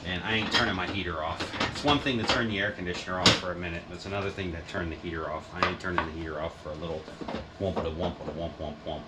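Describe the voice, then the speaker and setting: A man's voice: low-pitched, fairly even intonation, quick pace. A man casually narrating his bookbinding work at home, rambling and swearing with self-deprecating asides. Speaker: hobbyist bookbinder vlogger. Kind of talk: casual workshop how-to narration. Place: home workshop with heater blowing. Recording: wind noise from heater on mic. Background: heater blowing and printer running.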